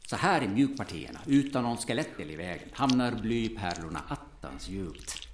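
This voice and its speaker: Lowish actor as gruff male hunter, solemn, instructive, animated intonation, audio drama, echoing room. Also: slight background noise. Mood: commanding, impatient. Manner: instructive, brooding, commanding.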